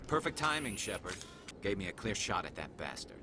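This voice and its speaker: A hot-headed young alien cop defends his quick shot in a video game. His low voice rises and falls with energy. Clear background noise runs through the game scene. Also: sing-song. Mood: blunt.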